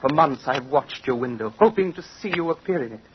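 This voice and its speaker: An old, muffled radio recording with some noise carries a man playing an ardent young suitor. He is bookish and poetic, declaiming earnestly in a mid-pitched voice, in the manner of vintage melodrama. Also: lively intonation, fairly quick, natural voice.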